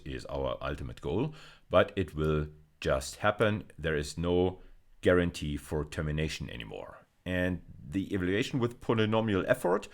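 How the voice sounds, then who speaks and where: Very deep-voiced male lecturer. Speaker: university lecturer. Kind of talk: explanatory video lecture.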